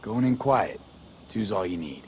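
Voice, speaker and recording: An actor playing a cool, loyal, streetwise mercenary in a video game, with a terse, casual way of talking. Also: male voice, fairly low pitch, animated, a little slow, darkish tone, slight background noise. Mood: cool, probing.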